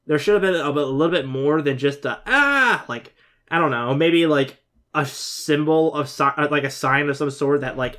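20s dude reacting on webcam, rambly and casual, voice a bit on the high side. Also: male voice, fairly bright tone. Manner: rambling, informal, mild swearing. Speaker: reaction video host. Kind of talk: casual off-the-cuff commentary. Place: bedroom, indoors.

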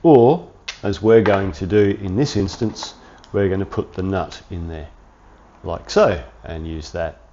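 A man in his forties with a cold and sniffles, chatting through a hands-on workshop tutorial in a relaxed, rambling way from his home garage. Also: sits low, unhurried, lo-fi sound, a little hiss or hum. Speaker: workshop channel host.

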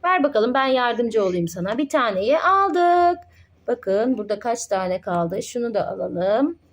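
A woman's voice: on the high side, big pitch swings, unhurried. A woman playing with her child, narrating toy doctor play in a sing-song, encouraging way and explaining each step.

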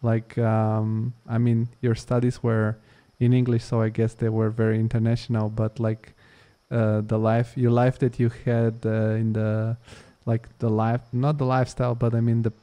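A man's voice: medium pitch, monotone, moderate pace, dark tone. A man who grew up in Italy, hosting a podcast and asking long rambling questions full of fillers. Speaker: podcast host. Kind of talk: casual interview.

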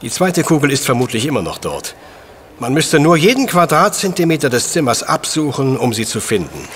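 A brilliant detective professor in an audio drama. He is a man who explains with precise, superior certainty, his pitch swinging freely at a middle height over background ambience. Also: big pitch swings, brisk, a bit bright, a little hiss or hum. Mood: explaining. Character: brilliant, self-assured professor detective, deducing coolly. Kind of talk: German audio drama acting.

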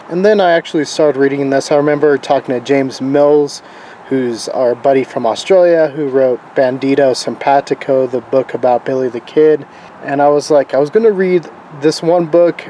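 Casual male book reviewer, slightly hoarse, mellow tone, easygoing and rambling. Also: on the high side, a little hiss or hum, rough recording. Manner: relaxed, rambling, with fillers and restarts.

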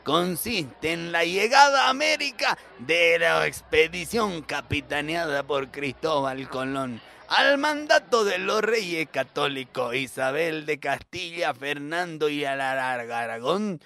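A man's voice: on the high side, lively intonation, takes it slowly, gravelly, few pauses, sharp tone, full character voice. A man playing an indifferent, pedantic male schoolteacher who lectures pompously in a comic monologue. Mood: droning.

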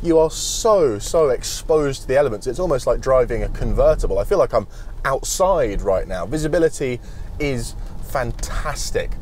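A young British man talks through a car review in a wry, opinionated, chatty way, his pitch sitting in the middle of the male range. He records on a clip-on mic, mostly from the driver's seat. A faint outdoor hum of traffic runs under his voice. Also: animated, moderate pace, darkish tone. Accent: British English. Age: young adult. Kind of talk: casual presenting to camera. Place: inside a car while driving, later outdoors at a charger.

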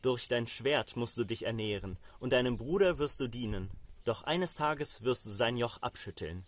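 A man's voice: medium pitch, lively intonation, moderate pace, dry recording, close to an everyday voice. Man reading as a solemn old patriarch father. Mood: dismayed.

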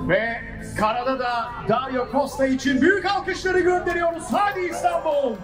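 High-pitched male event announcer over echoing speakers. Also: normal speed. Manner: exclamatory, hyping the crowd. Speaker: live event announcer at an air show and flying contest. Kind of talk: excited live commentary over a public address system. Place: outdoor seaside public event. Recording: echoey loudspeakers outdoors, distant, loud music and crowd. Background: music and crowd noise.

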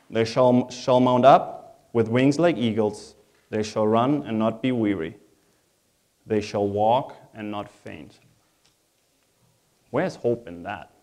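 A man's voice: mid-range voice, mellow. German-accented male pastor preaching. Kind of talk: sermon.

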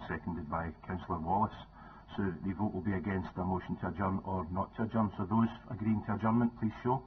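Brisk low-voiced official. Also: male voice. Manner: brisk, with small restarts. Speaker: council official running a vote. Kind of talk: formal procedural announcement. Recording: tinny phone-like sound, faint noise.

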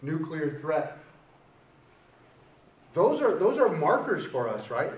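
A man's voice: fairly high pitch, big pitch swings, slow, dull audio. Middle-aged guy lecturing on poetry, keeps saying right?, roomy hall, mic far off, bit of hiss. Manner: conversational, keeps asking "right?". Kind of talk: university lecture with a poem reading.

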